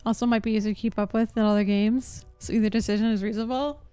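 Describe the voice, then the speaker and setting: A woman's voice: fairly high pitch, steady tone, quick pace, dark tone, clean tone, dry recording, soft background music. A young adult woman chatting casually as she streams a game, rambling in an informal, unsure way. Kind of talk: casual live stream chat.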